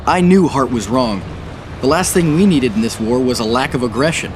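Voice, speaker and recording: An actor playing a brash, hot-headed young American naval officer in a war game cutscene. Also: male voice, medium pitch, lively intonation, moderate pace, background noise, close to an everyday voice. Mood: determined, wry. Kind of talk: game cutscene acting and first-person war narration. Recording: loud music behind.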